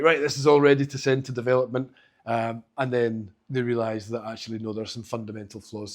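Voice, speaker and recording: A man's voice: mid-range voice, a little mellow. A man from Scotland with a Glaswegian accent, giving an informal, self-deprecating talk at a meetup on a handheld mic, with frequent restarts. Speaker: conference speaker. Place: meetup venue.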